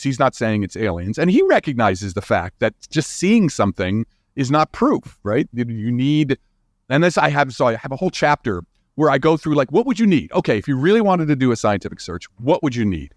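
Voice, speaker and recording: A man's voice: mid-range voice, dry recording, studio quality. A man speaking as an expert guest in a podcast interview, explaining informally and emphatically, with restarts and checking tags. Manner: informal, emphatic, halting restarts with frequent "you know" and "right?". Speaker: interview guest, scientist and book author.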